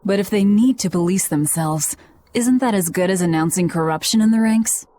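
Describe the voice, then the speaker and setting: A woman's voice: medium pitch, normal speed, mellow, slight background noise, lightly acted. An actress playing a guarded, blunt young demon woman in a game, speaking curtly. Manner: curt, blunt.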